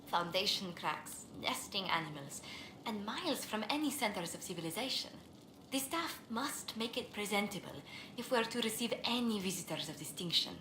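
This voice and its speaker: A refined woman diplomat acted by a woman in a fantasy video game, earnest and courteous. She has a medium-pitched voice and formal phrasing. The recording is echoey, with clear noise behind it. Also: animated, moderate pace, lightly acted. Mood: concerned. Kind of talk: scripted video game dialogue.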